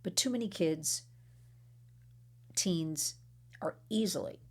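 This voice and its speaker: Hoarse american woman, low raspy voice, rambles and restarts, keeps hammering her point. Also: expressive, slow. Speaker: anti-trafficking advocate. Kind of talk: urgent advocacy talk.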